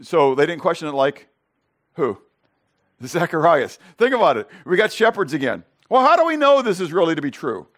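A middle-aged male pastor preaching a sermon with Greek word study, conversational and peppered with "okay?" and rhetorical questions, rising louder on key points. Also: big pitch swings, moderate pace. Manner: rises louder and higher on emphasis.

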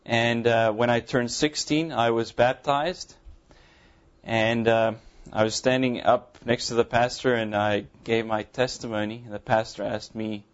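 A man in his thirties from South Africa, an Afrikaans speaker with a mild Afrikaans accent, giving his testimony from the pulpit of a church in an earnest, halting way with many ums. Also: mid-range voice, little pitch movement, lo-fi sound. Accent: Afrikaans-accented English, mild. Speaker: visiting pastor. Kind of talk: sermon testimony to a congregation. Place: church sanctuary.

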